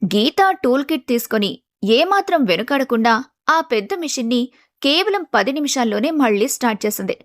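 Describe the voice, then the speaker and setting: A woman's voice: sits high, expressive, moderate pace, no echo, clean studio sound. A woman reading a scripted family story as its narrator.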